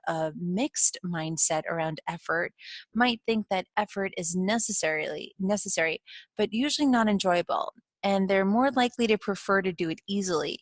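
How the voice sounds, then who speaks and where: A woman's voice: lowish voice, no echo. A middle-aged woman from Ukraine, a native Russian speaker, giving an earnest, informal lesson to camera, often restarting mid-phrase and putting questions to the viewer. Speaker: CEO and founder of a positive psychology school. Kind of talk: informal educational talk to camera.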